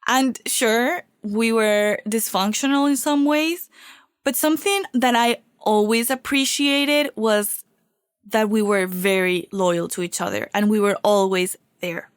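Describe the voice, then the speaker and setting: Woman host, recovering from a cold; warm confiding podcast delivery, dry close mic. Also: fairly high pitch, measured pace, clean tone, no echo. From Venezuela. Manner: upbeat, heartfelt, confiding. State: getting over a cold. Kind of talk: solo podcast talk.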